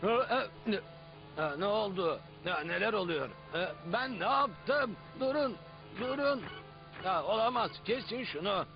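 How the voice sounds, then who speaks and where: An excitable little boss of a cartoon cell, high in pitch and forever giving orders. He is fussy and exclamatory. The soundtrack is dull and lo-fi, with music underneath. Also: unhurried. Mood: panicked, indignant. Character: bossy, excitable male cell chief running his factory-like cell. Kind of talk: dubbed cartoon acting.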